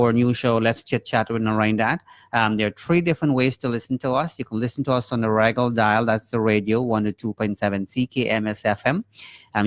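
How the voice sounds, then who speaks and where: Filler-heavy Guyanese-born radio host, dry sound. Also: male voice, mid-range voice, no room sound. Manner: friendly, filler-heavy, rambling. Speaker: talk show host. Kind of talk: casual chat. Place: radio studio.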